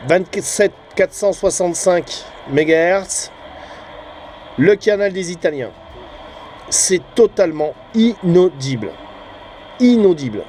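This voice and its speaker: A man's voice: high voice, animated, slow, long pauses, darkish tone, slight background noise. A man giving a casual, rambling hobbyist vlog commentary, hesitant and often trailing off with little tag words. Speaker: amateur CB radio hobbyist and channel host.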